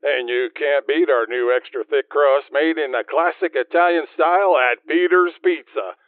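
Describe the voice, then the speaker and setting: An actor playing an over-eager, hyped pitchman in a parody radio commercial, heard through a radio. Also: normal speed, dry recording. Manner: hyped, salesy. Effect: radio filter. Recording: tinny band-limited radio sound, very dry.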